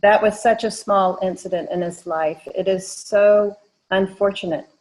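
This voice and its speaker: A woman's voice: mid-range voice, steady tone, a little slow, a little mellow, poor audio. A woman in her sixties from Georgia with a Southern American accent, giving an earnest talk as a painter, with frequent ums. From Georgia, USA. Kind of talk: prepared talk.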